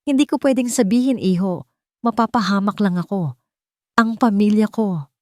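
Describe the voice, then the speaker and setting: A woman's voice: medium pitch, moderate pace, dry recording, studio quality, pitched above the performer's own voice, natural voice. A woman voicing an elderly, guilt-ridden housemaid in an audio drama, hesitant, bitter and pleading. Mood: fearful pleading. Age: older. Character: frightened, guilt-ridden elderly housemaid confessing dark secrets.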